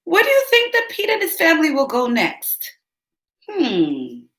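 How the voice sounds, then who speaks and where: Woman, children's book read-aloud, slow and careful, roomy echo. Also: high voice, wildly swooping pitch, a bit bright. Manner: speaks directly to young listeners, asks them questions.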